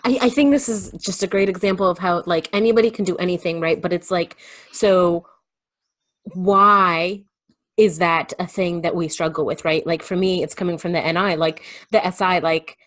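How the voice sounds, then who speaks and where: A woman guest in a casual, reflective conversation, explaining her thoughts haltingly and often checking that she is being followed. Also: fairly low pitch, steady tone, a bit bright, no echo.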